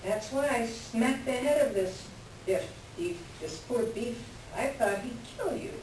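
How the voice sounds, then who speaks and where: A woman's voice: a little high, lively intonation, measured pace, a little mellow. Woman acting a blunt, exasperated assistant, echoey noisy recording. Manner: sardonic, blunt. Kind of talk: staged readers' theater acting. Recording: echoey room, distant mic, noisy background.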